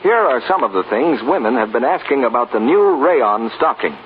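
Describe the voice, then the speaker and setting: Animated American announcer, tinny old radio. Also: male voice, mid-range voice, normal speed. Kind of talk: scripted 1940s radio announcing and advertising. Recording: tinny, noisy 1940s radio recording.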